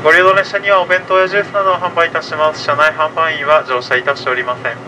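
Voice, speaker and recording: A man's voice: little pitch movement, rapid-fire. A man working as a train conductor, making a formal, polite, routine announcement over the train's PA. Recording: train PA with clear running-train noise. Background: moving train rumble.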